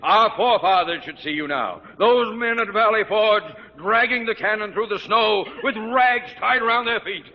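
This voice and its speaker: An actor playing an irascible, pompous middle-aged school principal in an old radio sitcom. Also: male voice, high voice, normal speed, hardly any pauses. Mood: pompous outrage. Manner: pompous, blustery. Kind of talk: 1940s-50s radio sitcom acting. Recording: dull old radio, hall echo, hiss.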